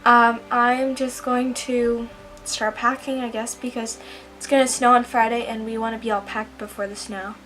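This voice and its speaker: A woman's voice: on the high side, little pitch movement, normal speed, fairly bright tone, poor audio. A teenage girl vlogging casually from home, chatty, with lots of ums and likes. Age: teens. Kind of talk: casual vlog diary.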